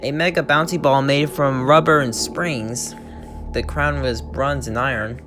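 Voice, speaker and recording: A young man reads a creepypasta in a plain, amateur way, tripping over words and fixing them as he goes. His voice sits at an ordinary middle pitch. Clear background music runs under the whole reading. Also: fairly even intonation, a little slow, a bit bright. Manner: amateur reading with stumbles and self-corrections. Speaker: creepypasta reader. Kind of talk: reading a creepypasta aloud, then casual commentary. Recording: music playing behind the voice.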